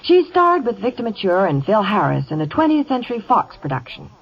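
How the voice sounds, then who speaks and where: A woman's voice: mid-range voice, very animated, brisk, breathy edge. A woman giving scripted advertising banter as a radio reporter in 1950 style. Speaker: radio reporter and sponsor pitchwoman. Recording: muffled vintage 1950 radio broadcast.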